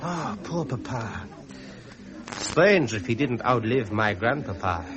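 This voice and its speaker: An actor playing a needling, ambitious young German prince in a period drama, pompous and insinuating. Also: male voice, mid-range voice, sing-song, slow, a crowd in the background. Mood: morbid, insinuating. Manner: pompous, insinuating, punctuating with "hmm?". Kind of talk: 1970s British broadcast period drama acting.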